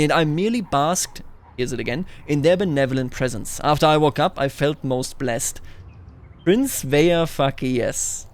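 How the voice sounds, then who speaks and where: A man hosting a gaming video, musing aloud expressively as he mixes casual commentary with reading story text. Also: on the high side.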